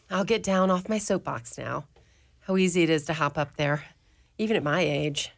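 A woman's voice: sits low, creaky, fairly bright tone. Woman doing a no-nonsense old convent mother superior, firm, kinda preachy, radio play. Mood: wry. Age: older. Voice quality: brisk, firm and preachy, with ready laughs. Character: stern, outspoken elderly convent mother superior. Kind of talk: community radio drama acting.

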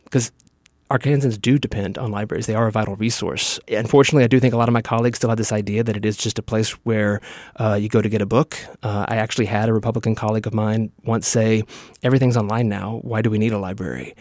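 A man's voice: on the low side, a little mellow, no room sound, studio quality. A man giving interview answers as a state legislator.

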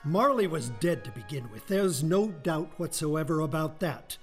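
A man's voice: on the high side, expressive, moderate pace, faint music behind, natural voice. A man playing a wry storytelling narrator in a radio play, dramatic and emphatic, savouring his words. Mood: wry, conversational.

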